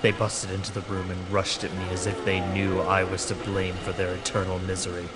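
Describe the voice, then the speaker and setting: A man's voice: deep voice, monotone, a little fast, heavy background noise. A man giving a grave, sombre first-person narration in a dramatic horror style.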